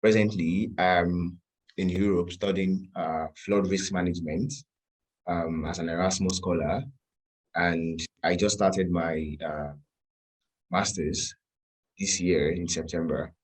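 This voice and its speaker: A young man from Nigeria speaks as a panel guest over a video call. His voice is very low and husky. He talks with many hesitant "um"s. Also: fairly even intonation, slow pace, rough recording.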